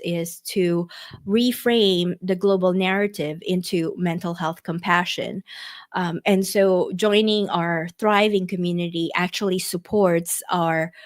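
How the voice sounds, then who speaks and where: A middle-aged woman from the Philippines hosting an online workshop, chatty, with many fillers and restarts, speaking into a computer mic. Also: lowish voice. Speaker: workshop host. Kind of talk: casual online workshop presentation.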